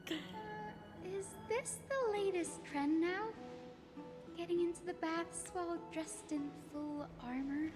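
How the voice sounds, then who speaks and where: A woman voicing a gentle, polite young healer in a video game, with a soft, earnest delivery. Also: extremely high pitch, takes it slowly, big-room sound, music in the background.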